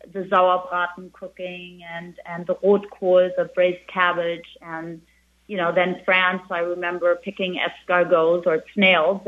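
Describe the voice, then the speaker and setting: A middle-aged woman from Germany, a German native speaker and restaurant owner, chatting as an interview guest with many restarts and "you know"s. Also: fairly low pitch. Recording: phone-like narrow band.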